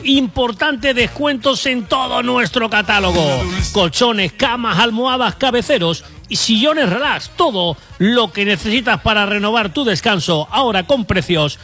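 A male advertising announcer with a high, animated delivery. He reads promotional radio copy over clear background music. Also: normal speed.

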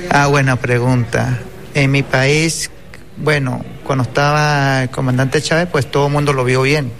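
A man's voice: medium pitch, level tone, measured pace, fairly bright tone, slight background noise. A man with a Venezuelan accent talking about his own life as an interviewee. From Caracas, Venezuela. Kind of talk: personal testimony in an interview. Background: faint music.

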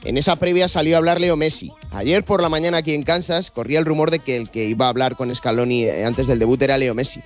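A man with a Madrid accent giving a casual live report as a sports radio correspondent. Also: fairly high pitch.

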